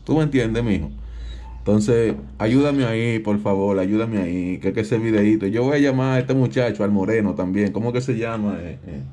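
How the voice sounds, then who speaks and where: Man acting insistent Dominican father, low mellow voice. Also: moderate pace, slight background noise, close to an everyday voice. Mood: pleading, insistent. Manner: insistent, repetitive. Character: aggrieved protective father claiming to be a police captain. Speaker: prank caller. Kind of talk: improvised prank phone call.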